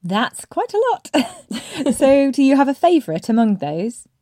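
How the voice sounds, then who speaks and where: Female podcast host, soft mellow tone, dry close sound, faint music. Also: sits high, sing-song, a little slow, no echo. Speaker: podcast host, English teacher. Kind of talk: podcast interview with scripted intro.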